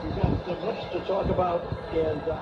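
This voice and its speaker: A man hosting a radio talk show, speaking in a deliberate, reflective monologue with hesitant phrasing. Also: high-pitched, steady tone. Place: radio studio, heard through a shortwave receiver. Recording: noisy shortwave radio broadcast, tinny narrow band.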